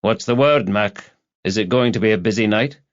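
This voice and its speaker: Man as a dry, low-voiced detective sergeant. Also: normal speed, highs cut off, lightly acted. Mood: casual. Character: wry, world-weary male detective sergeant.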